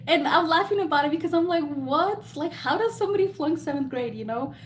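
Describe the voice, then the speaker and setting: Woman host, slight Mexican Spanish accent, higher pitch, loose self-deprecating chat, faint hiss. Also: normal speed. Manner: chatty, laughs often, self-deprecating. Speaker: beauty business host. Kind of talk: live Q&A chat.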